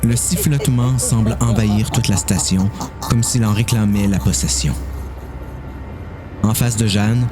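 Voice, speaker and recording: A man with a Quebec French accent reading a suspense story in a measured, deliberate way as the narrator of an audio drama. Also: fairly low pitch, music in the background.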